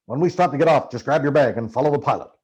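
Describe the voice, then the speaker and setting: A man's voice: mid-range voice, very fast, a little mellow, breathy edge, dull audio, natural voice. A man voicing a ranting, paranoid, foul-mouthed American journalist in audiobook dialogue, barking urgent, emphatic orders. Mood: paranoid, commanding.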